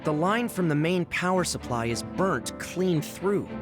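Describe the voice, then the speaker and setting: A man's voice: fairly high pitch, animated, measured pace. An actor playing a practical young male tech expert in an anime game, matter-of-fact. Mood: focused, matter-of-fact. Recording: music clearly behind the voice.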